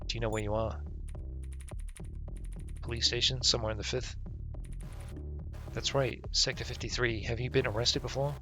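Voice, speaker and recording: A man's voice: mid-range voice, steady tone, moderate pace, long pauses, sharp tone. A man live-streaming a game, reading its text aloud and adding casual, sweary, offhand comments, with game music behind him. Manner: casual, profane, offhand asides.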